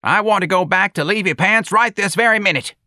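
Guy doing batty old lady in an audiobook, super high croaky squawk, cranky and indignant. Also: brisk, pushed and bright, dry recording, studio quality. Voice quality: croaky, piping, shrill. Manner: indignant, wild outbursts. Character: cranky, senile, very old working woman.

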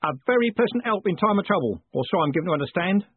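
A man plays a blunt, wry coachman from a storybook, helpful and teasing. His voice is raised and lively, on a narrow phone-like recording. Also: high voice, very fast, breathy edge, no room sound, pitched above the performer's own voice. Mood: wry, hearty.

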